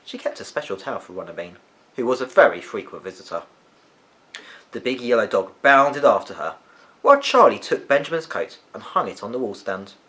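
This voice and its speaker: A man narrates a children's book. His voice sits at an average pitch and moves through wide, lively swings. The reading is expressive, in the manner of a storyteller. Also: a little fast.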